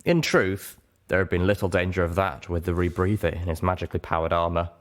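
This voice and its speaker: Low-voiced man narrating a story. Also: a little fast. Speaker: audiobook narrator. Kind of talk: dramatic first-person story narration.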